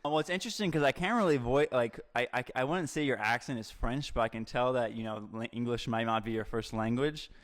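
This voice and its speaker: A man's voice: medium pitch, a little fast, hardly any pauses. A man from Atlanta with a standard American accent, chatting as a podcast guest in a casual, rambling, hedging way. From Atlanta, US Southeast. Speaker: podcast guest, environmental lawyer. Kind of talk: conversational podcast interview.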